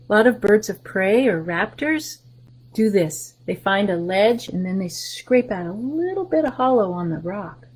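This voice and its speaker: Soft-spoken woman reading to kids. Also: mid-range voice, breathy edge, rough recording. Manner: gentle, explains pictures between rhymes, often drops to a soft whisper. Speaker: library story time host. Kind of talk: reading a picture book aloud to children.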